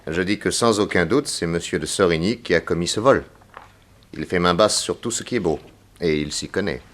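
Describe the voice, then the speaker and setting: A man playing a grave, persuasive detective in a 1960s French radio serial, formal, deliberate and insistent. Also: sits low, a bit bright. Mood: inquisitive, assured. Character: grave, persuasive male detective, solemnly warning a young woman.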